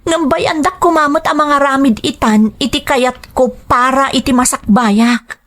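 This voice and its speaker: A woman's voice: high-pitched, fairly even intonation, measured pace, a bit bright. A woman playing a dutiful but determined young working daughter in a radio drama, earnest and pleading, with an Ilocano Filipino accent. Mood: pleading, frustrated.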